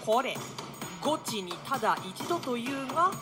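A woman playing a boisterous, mocking young gentleman in a radio drama, declaiming archaic lines with bantering flamboyance. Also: fairly high pitch, sing-song, moderate pace, over music. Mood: playful urging.

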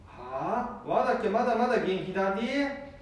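A man's voice: sits high, very animated, normal speed. A man playing a stubborn, boastful old grandpa in an amateur radio drama, grumbling in a Nanbu dialect of northern Japan. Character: stubborn, overconfident old grandpa behind the wheel. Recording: echoey room, distant from the mic.

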